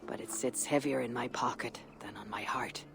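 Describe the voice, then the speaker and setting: A woman playing a weary, proud Viking warrior in a video game, speaking in a husky, measured, dry way. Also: a bass voice, fairly even intonation, a little hiss or hum. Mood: guarded, solemn. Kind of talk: dramatic video game acting. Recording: music under the voice.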